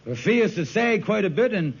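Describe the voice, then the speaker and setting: A high-pitched, husky con man from a vintage radio sitcom. He wheedles and persuades in folksy, ungrammatical talk, always working a scheme. The old recording is muffled. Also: very animated, normal speed, heavily acted. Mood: wheedling persuasion.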